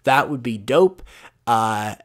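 A young man hosting a casual solo podcast, rambling with lots of fillers and losing his voice, clearing his throat now and then. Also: medium pitch, lively intonation, takes it slowly, fairly bright tone. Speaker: podcast host. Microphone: voice-over over gameplay footage.

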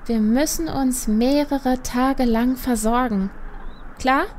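A man's voice: very high-pitched, slow, very noisy, voice pushed up. A man voicing a headstrong, impatient young female panda adventurer in an audiobook. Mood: cheeky, insistent. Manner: impatient, defiant. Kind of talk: audiobook character voice.

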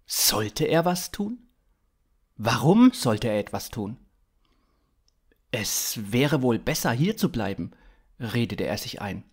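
A man in his thirties narrates a scripted story for a fiction podcast. His pitch is mid-range and he takes his time, reading at a slow pace. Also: sing-song, slightly rough. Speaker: author-narrator.

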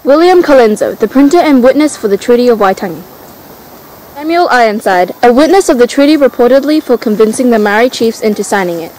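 A young teenage girl narrating introductions for a school history video in a steady, recited way. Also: on the high side, brisk, a little hiss or hum, rough recording. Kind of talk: read-aloud school narration.

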